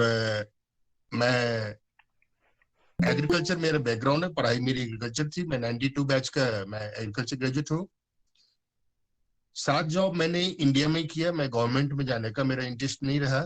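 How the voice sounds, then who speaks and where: Guest speaker, male, 50s; informal, halting delivery, mid-pitched voice, video-call sound. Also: rough recording. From Bihar, India. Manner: conversational, many fillers and restarts, mixes English words. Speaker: guest speaker on organic farming. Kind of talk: informal talk to an online audience.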